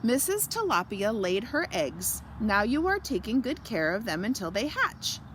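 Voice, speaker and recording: A woman's voice: a little high, sing-song, moderate pace, a little hiss or hum. A woman voicing a kindly, cheerful male seahorse who encourages other fish fathers in a picture story, with a sing-song manner. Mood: encouraging. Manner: bright sing-song greeting.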